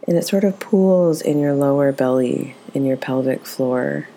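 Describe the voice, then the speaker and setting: A woman leading a guided meditation as its teacher, speaking in a gentle, soothing, unhurried way. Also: very deep voice, vocal fry, low-quality recording.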